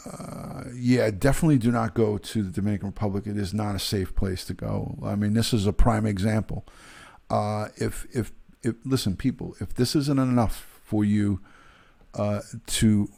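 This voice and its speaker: A man, a retired detective, giving emphatic, halting live-stream commentary to his viewers. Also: on the low side, steady tone, vocal fry, mellow.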